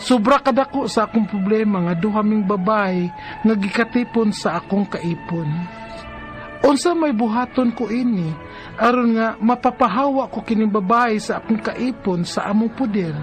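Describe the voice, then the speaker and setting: An actress with a Cebuano Filipino accent playing a distressed, betrayed live-in wife in a radio drama. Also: female voice, medium pitch, normal speed, faint music behind, lightly acted. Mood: troubled, earnest.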